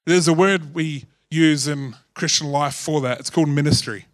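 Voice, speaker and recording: A man preaching to a church congregation in a relaxed, joking way. His voice is slightly husky from overuse and sits a bit higher than most men's. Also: moderate pace, no echo, studio quality. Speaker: pastor. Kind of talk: sermon.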